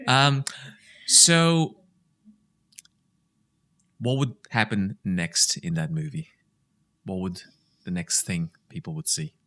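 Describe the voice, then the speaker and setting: Deep-voiced male podcast host from Nepal. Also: takes it slowly, long pauses, bright, forceful tone. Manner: friendly, hesitant, uses many fillers. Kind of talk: casual interview.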